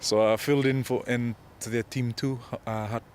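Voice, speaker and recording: A man in his twenties with a Fijian accent answers interview questions as a rugby player, in a casual, modest and halting way. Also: medium pitch, fairly even intonation, slight background noise. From Fiji. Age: 20s. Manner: hesitant, modest, halting delivery.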